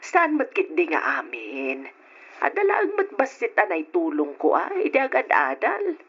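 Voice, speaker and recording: A woman's voice: fairly low pitch, huge pitch swings, normal speed, husky, heavily acted. A woman playing a patient, worried middle-aged mother in an old Ilocano radio drama, recorded with a muffled sound. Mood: soothing, resigned. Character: patient, gentle, worried mother of grown children.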